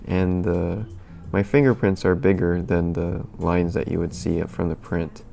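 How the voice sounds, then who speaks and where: A man with a very low, gravelly voice talks loosely on a livestream, rambling and answering viewers' questions. He sounds a little sniffly, and faint music plays behind him. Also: measured pace. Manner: relaxed, rambling, reads and answers chat. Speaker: livestream host. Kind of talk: casual livestream chat.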